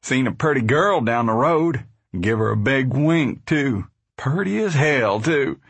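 A man voicing an excitable, girl-crazy young farm boy in a storybook. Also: medium pitch, unhurried, slightly rough, no echo, exaggerated voice. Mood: excited, eager. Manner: eager, folksy.

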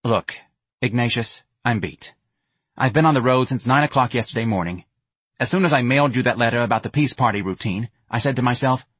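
A man voicing a blunt, intense young woman activist who talks in a flat, direct, slightly hostile way. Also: medium pitch, slightly rough, no room sound. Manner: brusque, nagging.

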